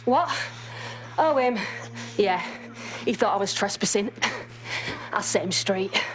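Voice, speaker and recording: An actress with a British accent playing a cocky, secretive vigilante broker in a video game, teasing and informal. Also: female voice, medium pitch, expressive, slow pace. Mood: breezy.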